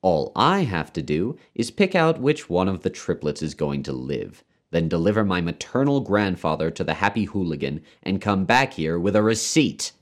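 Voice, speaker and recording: A man voicing a despairing, sullen new father with a flat, taut, bitterly sarcastic delivery, in a story reading. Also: low-pitched, moderate pace, hardly any pauses. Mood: bitter, sulky.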